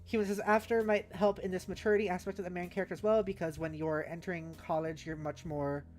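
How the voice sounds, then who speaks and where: A woman's voice: fairly low pitch, steady tone, fast, a little mellow. A woman livestreaming casually, thinking aloud in loose fragments and chatting informally with her viewers in a playful way. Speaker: livestreamer host. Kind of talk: casual livestream brainstorming, reading chat aloud. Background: background music.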